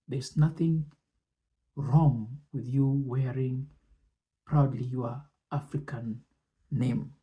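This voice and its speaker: A man presenting and commenting on speech excerpts in a deliberate, measured way, often pausing between short phrases. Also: medium pitch, steady tone, warm tone.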